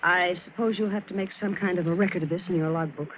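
An actress playing a cold, scheming, defiant widow in an old radio drama, curt and brazen. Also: female voice, fairly low pitch, fairly even intonation, quick pace, natural voice. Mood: cool, evasive. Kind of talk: 1940s-50s radio drama acting. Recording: thin, phone-like old radio with hiss.

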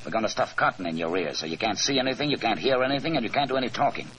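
An actor playing a tough, domineering gangster boss in a 1940s radio crime drama, blunt, slangy and commanding. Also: male voice, medium pitch, animated, very fast, a bit bright, lightly acted. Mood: commanding, menacing. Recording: noisy old 1940s radio recording.

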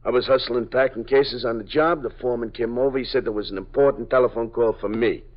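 A man's voice: mid-range voice, fast, close to an everyday voice. An actor playing a nervous male parolee informant who stammers and pleads, in 1950s radio drama style. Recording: dull old radio broadcast.

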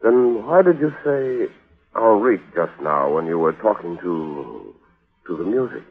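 An actor playing an earnest, protective fiancé turned avenger in a 1940s radio mystery, intense and melodramatic. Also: male voice, deep voice, big pitch swings, measured pace, heavily acted. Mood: worried tender. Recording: tinny old radio recording with music.

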